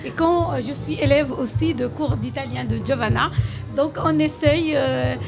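A woman's voice: sits high, normal speed, creaky, music in the background. A woman from Morocco whose first language is Arabic, a language teacher answering interview questions with frequent hesitations.

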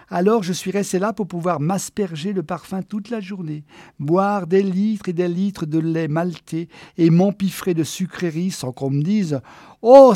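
A man's voice: sits high, warm tone, no room sound, clean studio sound, pitched above the performer's own voice. A man voicing a brash, clingy, bossy woman in her twenties in a storybook reading, gushing and demanding.

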